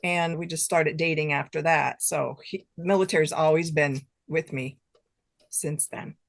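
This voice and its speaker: A woman's voice: low-pitched, fairly even intonation, unhurried, vocal fry. An older woman chatting casually and informally as a guest author in an interview, with a giggly streak. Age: late 50s to 60s.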